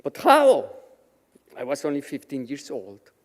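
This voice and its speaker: A man from Mexico whose first language is Yiddish, telling his personal story slowly and with feeling as a guest speaker at a Shabbat service in a synagogue chapel. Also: on the high side, wildly swooping pitch, long reverb tail. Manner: slow, measured, emotional storytelling. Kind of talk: personal testimony speech.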